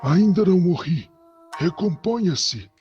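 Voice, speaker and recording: Old samurai father acted by a man, Brazilian accent, audio drama, stern, unhurried delivery, commanding, faint music underneath. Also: high voice, animated, lo-fi sound. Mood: stern, disgusted. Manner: slow, curt, commanding. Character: stern, proud, ailing old samurai clan lord, a father. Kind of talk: scripted audio drama acting.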